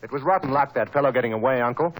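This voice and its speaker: Actor as quick, eager young gentleman. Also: mid-range voice, loud music behind the voice, close to an everyday voice. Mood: rueful, earnest. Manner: brisk, enthusiastic. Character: eager, breezy young English gentleman nephew. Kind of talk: 1940s radio drama acting. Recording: noisy old 1940s radio broadcast.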